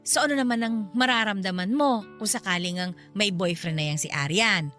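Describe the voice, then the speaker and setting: A woman playing a chatty, teasing middle-aged neighbor in a radio drama, with a Filipino accent and an animated, gossipy manner. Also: mid-range voice, brisk, faint music behind, close to an everyday voice. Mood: curious, teasing.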